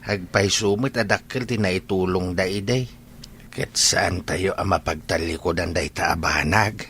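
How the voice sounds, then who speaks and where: Low-voiced patient older father man acting. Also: fairly even intonation, unhurried, fairly bright tone, slight background noise. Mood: calm, conciliatory. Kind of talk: radio drama acting.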